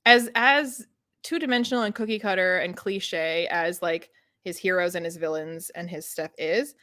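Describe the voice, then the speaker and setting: A woman's voice: lowish voice, moderate pace, sharp tone, dry recording. A woman chatting casually and animatedly about books on a livestream, giggling and acting out quotes as she goes. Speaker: book discussion co-host. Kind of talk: casual livestream book chat.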